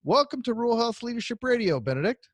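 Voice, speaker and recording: A man's voice: very high-pitched, sing-song, fast talker, no echo. A man hosting a radio interview in a friendly, upbeat way, asking his guest questions. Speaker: radio show host.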